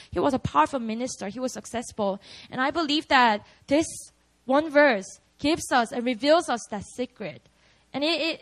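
A woman's voice: on the high side, fairly bright tone. A young woman with a Korean accent preaching at a prayer meeting, candid and chatty, often laughing at herself.

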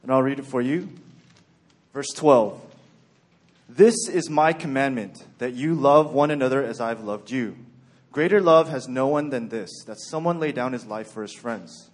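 A young man from Texas giving a sermon in a calm, even-keeled way with frequent ums and okays. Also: medium pitch, moderate pace. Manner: even-keeled, plain-spoken, frequent "um" and "okay". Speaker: church speaker.

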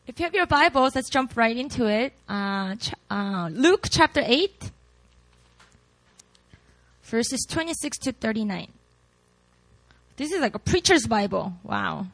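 A young woman pastor with a Korean accent, her voice high and bright. She jokes about herself and laughs easily before moving into scripture, and the sound is close and dry. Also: expressive, a little slow, gravelly, long pauses, dry recording, low-quality recording. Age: late 20s. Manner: chatty, self-deprecating, laughs often. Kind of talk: sermon with scripture reading.

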